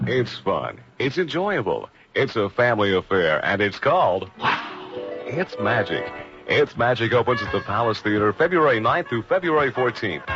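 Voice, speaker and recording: Lively male radio ad announcer. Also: on the low side. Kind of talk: promotional scripted announcement. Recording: dull old radio recording. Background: music.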